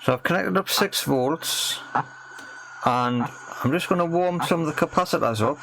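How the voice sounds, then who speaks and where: A man's voice: on the high side, normal speed, a bit bright, low-quality recording. A man gives casual, thinking-aloud commentary on a repair job, tentative and often questioning himself. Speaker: host of a hobby radio repair video. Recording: clear background noise with clicking.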